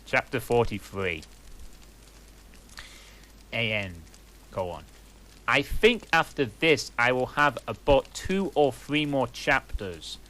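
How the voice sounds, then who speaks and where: A man's voice: mid-range voice, animated, slow, pauses often, bright, forceful tone. A man reading a story aloud in a comic, mock-earnest manner, with a fireplace crackling behind him. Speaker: reader.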